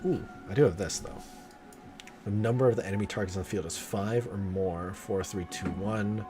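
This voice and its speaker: Low-voiced, excitable male game streamer. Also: normal speed. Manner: excitable, slangy, swears freely. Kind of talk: casual live-stream gameplay commentary. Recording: game music behind the voice. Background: video game music and sounds.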